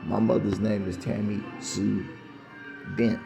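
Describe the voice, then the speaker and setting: Deep gravelly man ranting. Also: fairly even intonation, slow pace, over music. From United States. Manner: insistent, repetitive, emphatic. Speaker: vlogger. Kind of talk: rambling to-camera monologue.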